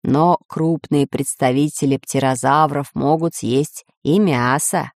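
A woman voicing a learned, composed male geographer, measured and matter-of-fact, in a children's storybook. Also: deep voice, a little mellow, no echo, clean studio sound, voice pushed down. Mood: explanatory.

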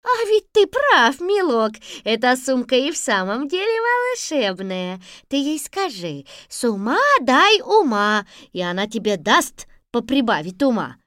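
A woman's voice: big pitch swings, measured pace, few pauses, a bit bright, no room sound, studio quality. A woman voicing a sly, folksy old woman in a storybook reading. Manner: folksy, cunning, quavering high. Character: sly kindly old woman, a disguised sorceress.

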